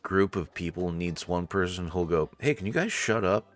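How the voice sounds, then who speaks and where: A man's voice: deep voice, moderate pace, faint music behind. A man reading game narration aloud as a let's player, adding casual, joking commentary. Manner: joking, conversational.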